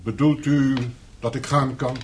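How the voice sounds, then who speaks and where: A deferential country-house manservant played by a man in a radio drama. He speaks politely in a medium-pitched voice. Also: fairly even intonation, a little slow, slight background noise, natural voice. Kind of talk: radio play acting.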